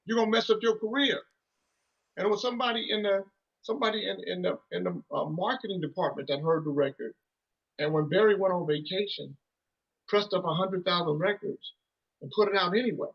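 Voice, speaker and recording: A man's voice: high-pitched, a little fast, vocal fry, slightly rough, muffled recording. A man in his thirties, a musician guest, telling stories in a rambling, casual way with lots of "you know" and restarts. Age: 30s. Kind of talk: casual storytelling interview.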